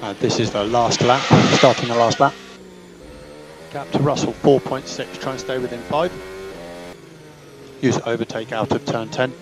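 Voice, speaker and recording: A man's voice: mid-range voice, steady tone, normal speed, pauses often, over music. A man working as a race engineer, giving clipped, procedural instructions over team radio and often repeating them. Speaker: Formula One race engineer. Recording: team radio with loud vehicle noise.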